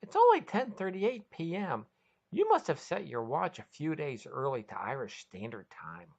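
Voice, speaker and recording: A woman's voice: deep voice, very animated, moderate pace, creaky, a little mellow, highs cut off, pitched above the performer's own voice, heavily acted. A woman voicing a mischievous, fun-loving male alien in a storybook reading, confident and chummy. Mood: jovial boasting.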